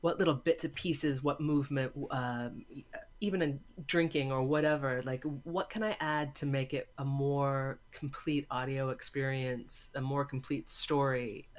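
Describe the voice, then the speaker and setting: A man speaks as an interview guest in a mid-pitched voice. He thinks aloud, building his explanation in short, halting phrases with a reflective, conversational manner. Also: fairly even intonation. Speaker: interview guest, audio drama maker and filmmaking teacher.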